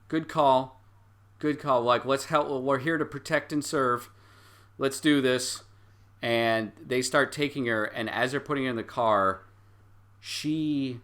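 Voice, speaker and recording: A man's voice: mid-range voice, sharp tone. A man working as game master, improvising narration for a tabletop game and slipping into the characters' lines. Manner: acts out characters' lines in quotes while narrating.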